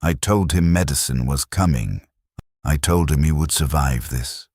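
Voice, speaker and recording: A man narrating a scripted first-person war story in short, measured sentences with a detached, solemn delivery. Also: rumbling low, a little mellow, slightly rough, dry recording, clean studio sound.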